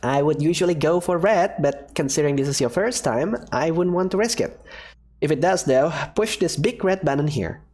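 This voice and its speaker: A cheerful woman professor with a secretive streak, as a voice actor plays her. Her lively voice swoops up and down in a breezy, teasing delivery. She sounds like a character from a fan-made visual novel. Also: medium pitch, moderate pace. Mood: confident.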